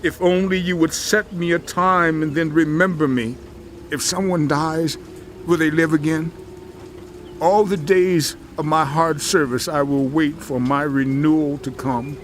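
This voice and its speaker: A man's voice: a little high, steady tone, measured pace, a little hiss or hum, natural voice. An actor playing a suffering, mournful man who pleads with God in a solemn, poetic dramatized scripture reading. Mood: pleading, yearning.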